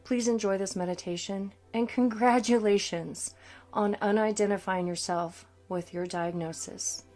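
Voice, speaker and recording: A woman's voice: mid-range voice, fairly even intonation, measured pace, mellow. Woman guiding a meditation over music. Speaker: meditation coach. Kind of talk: scripted guided meditation narration. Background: background music.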